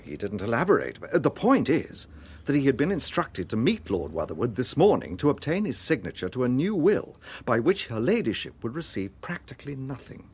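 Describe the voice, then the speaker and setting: An actor playing a shrewd, courteous gentleman police inspector in a British radio drama, with an English accent and a measured, understated delivery. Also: medium pitch, big pitch swings, breathy edge. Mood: thoughtful.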